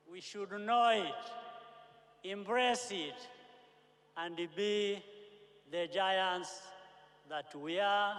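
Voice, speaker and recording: A man from Uganda giving a rousing, emphatic speech with dramatic pauses. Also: high voice, a bit bright. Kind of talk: impassioned speech. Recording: echoey, reverberant hall.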